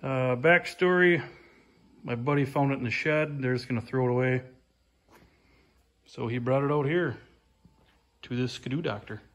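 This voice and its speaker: A man's voice: medium pitch, moderate pace, long pauses. A man giving a casual, rambling walkaround of an old snowmobile in his garage, filmed on a handheld phone and often repeating "like I say". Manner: plain-spoken, rambling, repeats "like I say". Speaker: hobbyist restoring an old snowmobile. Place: garage workshop. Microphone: handheld phone camera.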